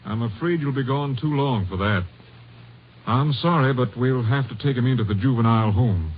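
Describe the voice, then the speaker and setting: An actor in an old-time radio drama playing a firm, practical Texas Ranger captain with a blunt, matter-of-fact manner. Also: male voice, medium pitch, moderate pace, slight background noise, lightly acted. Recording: dull vintage radio recording.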